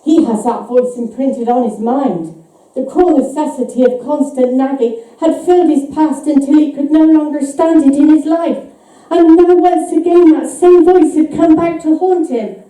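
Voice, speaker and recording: Female author reading eerie fiction live, measured and suspenseful, high mellow voice, picked up from a distance in a reverberant space. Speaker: author reading her own short story. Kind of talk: live dramatic story reading. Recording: echoey hall, distant microphone.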